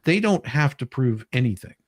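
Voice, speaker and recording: A man's voice: medium pitch, very animated, normal speed, dry recording. A man hosting a casual live stream, explaining legal paperwork in an emphatic, sweary way. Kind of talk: casual commentary on a legal document.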